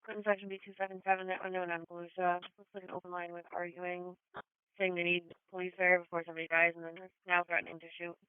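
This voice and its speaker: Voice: medium pitch, completely flat delivery, fast, smooth, dry recording, narrow, tinny audio. An American emergency dispatcher giving clipped, procedural calls over a two-way radio, heard on a scanner feed. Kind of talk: police and EMS radio dispatch.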